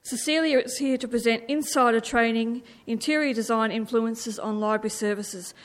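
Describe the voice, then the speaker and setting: A woman's voice: on the high side, moderate pace. Woman host introducing a speaker. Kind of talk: formal introduction.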